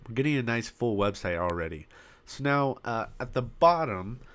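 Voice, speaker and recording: Hoarse man narrating a software tutorial. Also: medium pitch, lively intonation. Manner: casual step-by-step narration with frequent pauses. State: losing his voice, coughing. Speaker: web design tutorial host. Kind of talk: screen-recorded software walkthrough. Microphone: desktop mic with popping.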